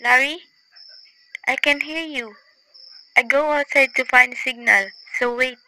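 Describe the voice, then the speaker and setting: A woman's voice: high-pitched, steady tone, slow pace, bright, forceful tone, dry recording, full character voice, low-quality recording. A woman with a Filipino accent playing an eager, gushing twenty-four-year-old fiancée in a radio drama. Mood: calling out. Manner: gushing, non-native English with simple phrasing. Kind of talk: amateur radio drama acting in a phone call scene.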